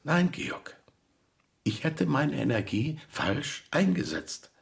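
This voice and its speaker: A man's voice: a little high, big pitch swings, slow, vocal fry, heavily acted. An actor playing a stubborn theatre director who argues insistently and defends his compromises, in a radio drama. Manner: insistent, defensive, swears when heated. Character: stubborn middle-aged male theatre director.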